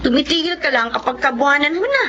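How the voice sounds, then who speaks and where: Radio drama role: practical young Filipina mother, strong-willed, higher register, muffled audio. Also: sing-song, fast. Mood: friendly. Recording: dull lo-fi audio with clear crowd chatter.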